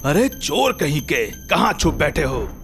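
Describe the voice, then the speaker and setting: Guy doing blustery cartoon comedy character, slowish drawn out talk, highish voice, loud music behind. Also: big pitch swings, creaky, full character voice. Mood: blustering, exasperated. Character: blustering male police officer and boastful male thief in comic story. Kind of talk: Hindi cartoon story acting.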